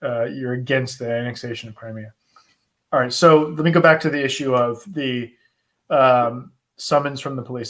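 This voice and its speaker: A man with an American accent answering viewer questions in an informal, conversational way, thinking aloud with pauses as he explains. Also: medium pitch, a bit bright. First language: English. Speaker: host, asylum lawyer answering viewer questions. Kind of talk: informal live Q&A, explaining law.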